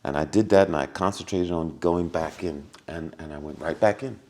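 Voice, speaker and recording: A man, a podcast guest, tells a personal story in casual conversation. He acts out the scenes with sound effects and laughter, and drops to a hushed voice for remembered lines. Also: sits low, normal speed, very noisy.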